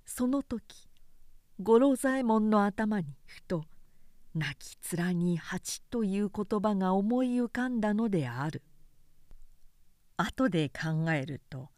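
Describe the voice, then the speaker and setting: A woman reading a literary period story aloud as narrator, in a measured, expressive storytelling manner. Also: mid-range voice, no echo, studio quality. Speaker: narrator, reciter.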